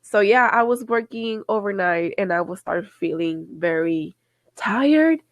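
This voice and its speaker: A woman's voice: medium pitch, expressive, measured pace, dry recording. Congested, nasal woman podcast host. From Latin America. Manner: chatty, laughs often, code-switches into Spanish. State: sick with a cold, congested. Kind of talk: casual podcast chat.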